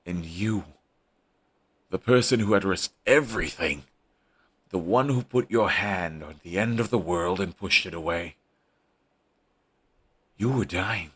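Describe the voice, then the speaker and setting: A bitter young villain, fiercely devoted and raw-edged, swearing as he talks, voiced by a man. His voice sits extremely low, with a husky grain and a forceful, tense edge. It is an intimate audio-roleplay monologue. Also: unhurried, pauses often, exaggerated voice. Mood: grieving. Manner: intense, raw, swears often.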